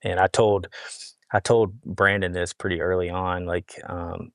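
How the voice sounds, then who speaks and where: A man speaking as a podcast guest, giving a casual, reflective testimony in an earnest, halting way. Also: sits low, level tone, gravelly, no room sound, clean studio sound. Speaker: podcast guest, hat brand founder.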